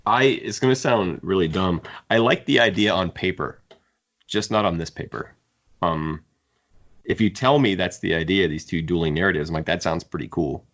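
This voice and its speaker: A man's voice: low-pitched, normal speed, a bit bright. A man co-hosting a podcast, discussing books casually in an opinionated, wry way. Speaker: podcast co-host. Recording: dull, band-limited audio.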